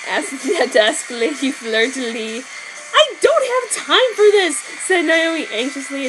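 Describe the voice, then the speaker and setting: A woman reading a fan story aloud, deadpan and mock-serious. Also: on the high side, rough recording. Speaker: reader. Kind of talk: dramatic fanfic reading. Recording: background music. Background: soundtrack music.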